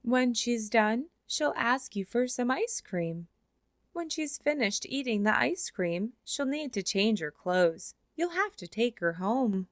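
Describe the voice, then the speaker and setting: A woman reads a children's picture book aloud with a fairly high, bright voice. Her delivery is expressive, and she slows down for the closing lines. The sound is dry and close, with no room echo. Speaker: storybook reader. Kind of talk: children's read-aloud narration.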